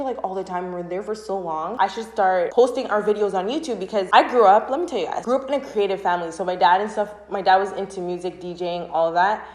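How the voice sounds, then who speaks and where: A young woman from Canada in her twenties chatting casually to camera as a vlogger, bubbly and expressive. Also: medium pitch. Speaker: vlogger and channel host. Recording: roomy, echoing room sound.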